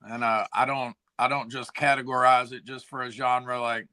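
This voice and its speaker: A hoarse man, a musician guest chatting casually and laid-back on a video call. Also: medium pitch, level tone, normal speed, bright, forceful tone. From Tennessee. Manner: laid-back, slangy, says "man" and "dude". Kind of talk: casual chat.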